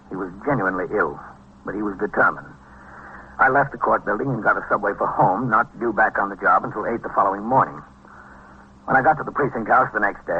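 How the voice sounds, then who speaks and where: An actor playing a steady, world-weary American police captain who narrates an old radio crime drama in a matter-of-fact, clipped manner. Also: male voice, a little hiss or hum, close to an everyday voice. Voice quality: low, husky voice. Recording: dull, band-limited vintage radio recording.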